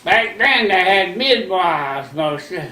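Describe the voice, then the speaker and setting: Older man, 90s, bright folksy storyteller, echoey room. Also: sits high, expressive. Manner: folksy, unhurried storytelling with plain rural grammar. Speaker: interviewee. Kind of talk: rambling recollection.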